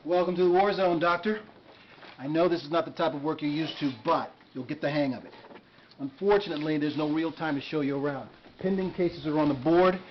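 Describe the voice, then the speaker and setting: An actor playing a brisk, world-weary senior emergency room doctor in a game drama. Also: male voice, a little high, lightly acted. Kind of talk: acted medical drama dialogue. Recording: muffled game video, faint noise.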